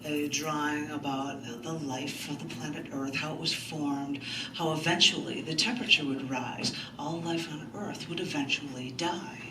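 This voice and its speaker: A woman at a live poetry open mic, reading and talking informally to listeners in a halting way. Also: low voice, fairly even intonation. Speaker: poet hosting an open mic. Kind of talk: live poetry reading with casual asides to the audience. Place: indoors in a large bookstore, live event. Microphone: picked up from a distance. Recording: roomy, distant, noisy live recording.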